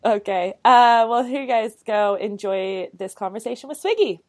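A woman's voice: fairly high pitch, expressive, moderate pace, a little mellow, slight background noise. A woman in her thirties co-hosting a podcast, talking in a chatty, bubbly way with lots of casual fillers. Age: 30s. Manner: chatty, bubbly, many "like" and "you know" fillers. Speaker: podcast co-host.

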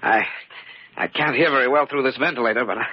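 A husky young husband played by a man in a 1940s radio thriller, grave and protective, speaking earnestly and haltingly. The old recording is dry and muffled. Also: medium pitch, dry recording, natural voice. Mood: grave, resigned.

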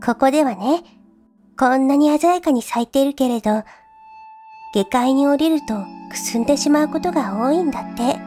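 A woman voicing a gentle, soothing older-sister girl who speaks in a tender, coaxing storytelling tone. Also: high-pitched, normal speed, close to an everyday voice. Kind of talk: voice drama. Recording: gentle new-age music behind. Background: new-age music.

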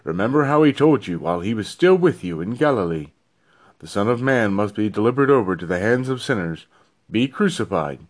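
A man narrating a Bible reading in a measured, solemn way with deliberate phrasing. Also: fairly low pitch. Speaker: scripture reader.

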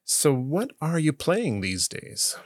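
A man hosting a podcast, asking questions in a relaxed, conversational way. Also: a little high, slow. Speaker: podcast host. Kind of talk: casual interview hosting.

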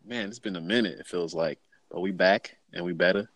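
A man's voice: low voice, fairly quick, fairly bright tone, no room sound. A young man hosting a casual podcast, laid-back and joking, with slangy, easygoing talk. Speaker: podcast host.

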